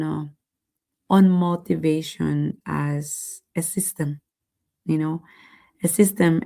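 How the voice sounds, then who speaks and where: A woman hosts a motivational live stream in a low, mellow voice with plenty of gravelly fry. She sounds raspy and a little hoarse, and she speaks earnestly, building her points through repeated, emphatic phrases. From lives in San Francisco, California. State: somewhat hoarse voice. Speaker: live-stream host and motivational business mentor.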